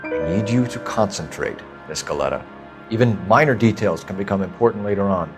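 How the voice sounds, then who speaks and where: Earnest game cop, lowish voice, quick formal delivery, courteous and clipped, music underneath. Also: male voice, lively intonation. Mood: firm. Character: earnest, steady male police patrol officer questioning people. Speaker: police officer. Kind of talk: video game cutscene acting.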